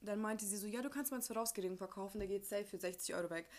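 A woman's voice: medium pitch, very fast. A young woman from Ukraine hosting a casual personal podcast, speaking informally with youth slang and bits of English mixed in. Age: young adult. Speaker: podcast host.

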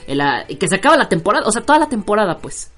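A man hosting an online radio show, chatting casually and joking, with many fillers and restarts as he reads out listener shout-outs. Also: high-pitched, sing-song, fast talker, faint music behind.

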